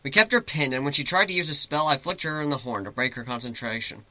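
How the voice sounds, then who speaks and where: A man giving an expressive, theatrical dramatic reading of a first-person story. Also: mid-range voice, quick pace, no echo, poor audio. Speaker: amateur story reader, narrator.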